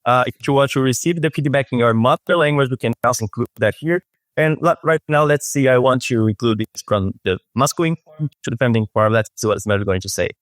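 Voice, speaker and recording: Brazilian-accented man demoing software. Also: mid-range voice, fast talker, dry recording. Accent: Brazilian Portuguese. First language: Brazilian Portuguese. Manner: frequent restarts and repeated words. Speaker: product specialist guest. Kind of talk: software demo. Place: office with shelves. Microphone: webcam on video call.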